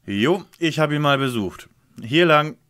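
A man playing a self-assured, scheming teenage detective leader in an audio drama parody, speaking deliberately. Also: on the high side, lively intonation, fairly bright tone, a little hiss or hum.

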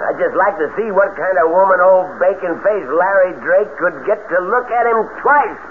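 An actor playing a jeering, leering backwoods drinker with a Southern US accent in a radio drama. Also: male voice, high voice, normal speed, natural voice. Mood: mocking. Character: leering, jeering drunken backwoods riffraff man. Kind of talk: 1970s radio drama acting. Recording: tinny, noisy 1970s radio broadcast.

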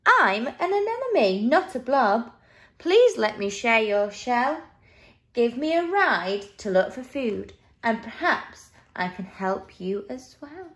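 A woman's voice: a little high, big pitch swings, takes it slowly, a bit bright, heavily acted. A woman voicing a proud female sea anemone in a storybook, emphatic and wheedling. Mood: pleading.

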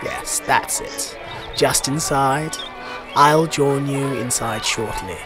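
A man plays a weary clergyman in a radio drama, speaking very slowly at a middling male pitch. He is cynical and resentful of his duties, with faint music behind him. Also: a crowd in the background, close to an everyday voice. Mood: politely ushering. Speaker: actor. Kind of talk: radio play acting.

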